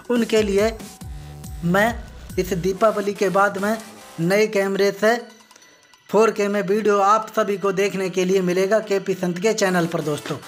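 A man's voice: high-pitched, fairly bright tone. A man giving an informal, repetitive on-site video commentary, explaining things step by step to viewers he addresses as friends. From northern India. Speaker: vlogger. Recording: music behind the voice. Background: background music.

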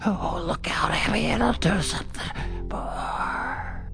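An actor playing a dying farmer, raving in a broken, stammering, gravelly voice. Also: male voice, high voice, slow pace, pushed and bright, exaggerated voice. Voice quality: gravelly, rasping. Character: dying farmer crumbling from alien blight, raving. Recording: radio drama with music underneath.

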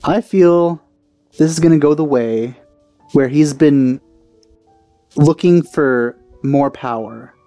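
Casting: male let's play host, mid-pitched, dark relaxed tone, sceptical asides, faint music behind. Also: slow, long pauses, no echo. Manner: wry, sceptical. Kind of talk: casual gameplay commentary. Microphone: close dry mic. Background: faint game music.

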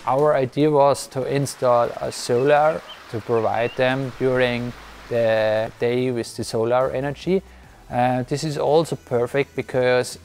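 A man talks through an installation in halting English, full of fillers and restarts. He speaks into a lapel mic in an equipment room, and faint music plays underneath. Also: medium pitch, a little mellow. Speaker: electrical installer. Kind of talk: interview.

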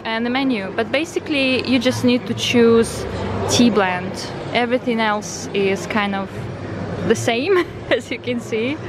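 A woman's voice: on the high side, a little slow, background noise. A young woman from Ukraine, speaking English as a second language, chatting enthusiastically to her viewers while filming herself with a handheld camera. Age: young adult. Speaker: vlogger. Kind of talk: casual vlog narration. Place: city streets and a restaurant, indoors and out. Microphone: handheld camera at arm's length. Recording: loud background music.

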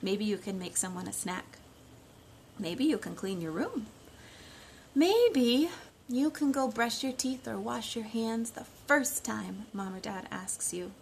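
A woman in her thirties reading and talking to young children in simple, expressive storytime phrasing, recorded on a phone at home. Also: a little high, takes it slowly. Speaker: children's storytime reader and teacher. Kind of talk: storytime read-aloud and talk to young children. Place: living room at home, indoors. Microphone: phone at about arm's length. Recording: noisy homemade phone recording.

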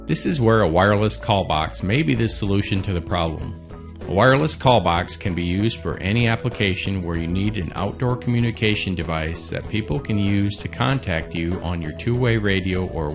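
A man reading scripted promotional narration in a measured, informative way, as for a product video. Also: deep voice. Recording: voice-over with music behind.

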